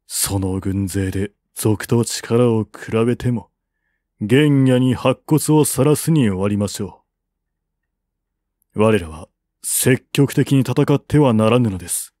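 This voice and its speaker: A man reading the part of a cautious army general who gives his lord grave, formal, deliberate counsel in an audiobook. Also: mid-range voice, lively intonation, mellow, no echo, clean studio sound. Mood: grave, reasoning.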